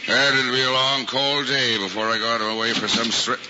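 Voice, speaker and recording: An actor playing a good-natured, slangy, folksy cab driver in an old-time radio comedy. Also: male voice, medium pitch, steady tone, a little fast, lightly acted. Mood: grumbling. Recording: muffled, very noisy old radio recording.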